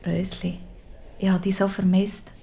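A woman's voice: mid-range voice, little pitch movement, a little slow, gravelly. Woman doing loving dad explaining to his kid, audio story, faint background noise. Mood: tender. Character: loving imprisoned father explaining his wrongdoing to his daughter.

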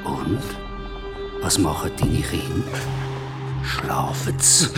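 Vengeful, menacing Swiss German revenant. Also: male voice, very deep voice, animated, creaky, heavily acted. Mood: cold menace. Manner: menacing, taunting, slow-burning. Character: vengeful mutilated male worker back from the dead, coldly sadistic. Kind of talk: horror radio drama acting. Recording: loud music bed behind.

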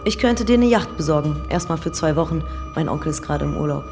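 A woman's voice: low voice, lively intonation, fast talker, music in the background. An actress playing a shrewd, matter-of-fact female police detective in a naturalistic radio crime drama. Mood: persuasive.

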